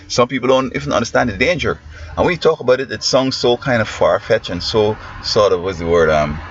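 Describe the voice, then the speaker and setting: A man from Guyana with a Guyanese accent, a schoolteacher giving rambling, preachy commentary that often trails off mid-sentence. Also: fairly low pitch. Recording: muffled lo-fi with faint hiss.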